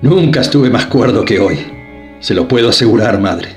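A man's voice: on the low side, animated, moderate pace, reverberant, soft background music, natural voice. A man voicing a resolute middle-aged son who confronts his mother in a radio drama. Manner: firm, earnest, impassioned.

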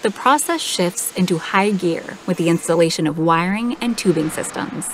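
A woman reading a scripted documentary voice-over in a smooth, measured, polished way. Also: lowish voice, slight background noise. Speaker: documentary narrator. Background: background music.

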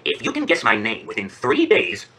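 Man playing a small, sly, husky fairy-tale imp. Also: mid-range voice, wildly swooping pitch, normal speed, bright, forceful tone, heavily acted. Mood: demanding. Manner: insistent, gloating.

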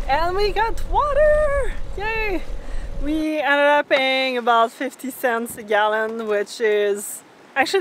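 A woman in her thirties narrating a travel vlog in a bright, upbeat, casual way. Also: high voice, slight background noise. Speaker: sailing vlogger.

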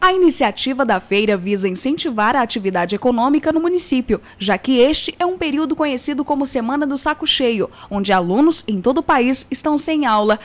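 A young adult woman reporting the news with a formal, even reading voice, from a handheld mic at an outdoor fair. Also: high-pitched. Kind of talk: scripted news narration. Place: outdoor craft fair under tents.

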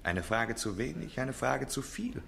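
An actor playing a witty, theatrical gentleman in a German radio play, speaking with ironic flourish. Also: medium pitch, sing-song, a little slow, vocal fry, full character voice. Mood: wryly amused. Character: Gilroy, dapper, witty, theatrical male colleague.

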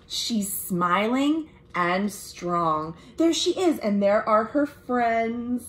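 Animated young American woman reading a storybook. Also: on the high side. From United States. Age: young adult. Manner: expressive, deliberate, addressing young children. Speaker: children's librarian reading storytime. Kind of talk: animated picture-book read-aloud with friendly asides. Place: small room at home.

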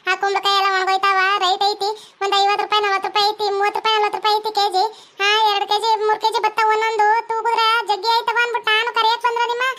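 A woman's voice: extremely high pitch, completely flat delivery, quick pace, a bit bright. A woman voicing a bossy, impatient female farm owner in a village cartoon, repeating orders insistently in a North Karnataka accent. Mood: impatient, scolding.

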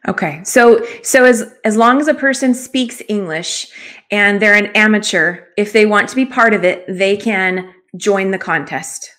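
A woman's voice: medium pitch, a little slow, a bit bright. A woman hosts an online interview in a conversational style. Her voice sits in the middle range for a woman. She is enthusiastic and affirming as she talks. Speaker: show host. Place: small room at home, indoors. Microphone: video call.

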